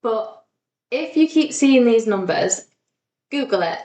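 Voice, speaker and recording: A woman's voice: a little high, animated, takes it slowly, dark tone. A woman co-hosting a podcast in casual, informal chat with an encouraging way of talking. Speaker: podcast co-host. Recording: echoey, roomy sound.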